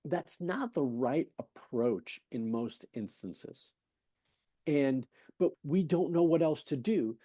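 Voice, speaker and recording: Man, fertility specialist guest, warm mellow tone, dry close sound, emphatic, rhetorical. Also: on the high side, animated, slow, no echo. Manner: emphatic, persuasive, rhetorical questions; conversational, retells patient exchanges. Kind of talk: podcast interview talk.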